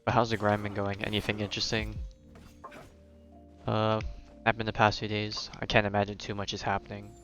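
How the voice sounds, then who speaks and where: A man's voice: lowish voice, completely flat delivery, fairly quick, fairly bright tone. A young man chatting casually and thinking aloud as he commentates on a game he is streaming live. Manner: rambling, conversational, thinking aloud. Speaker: game livestreamer. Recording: slightly dull mic over game music. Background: game music.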